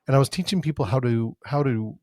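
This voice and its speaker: A man's voice: mid-range voice, fast talker, darkish tone, no room sound, studio quality. A man hosting a podcast in casual conversation, talking in loose rambling runs with repeated starts. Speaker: podcast host.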